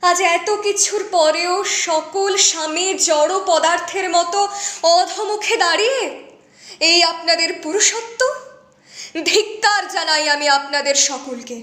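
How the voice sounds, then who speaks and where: A woman reciting a dramatic poem as a wronged, proud young queen of ancient epic, anguished and accusing, in formal, theatrical diction with weighted pauses. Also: very high voice, sharp tone, exaggerated voice. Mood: scornful, defiant. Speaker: poetry reciter. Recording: echoey, reverberant voice recording.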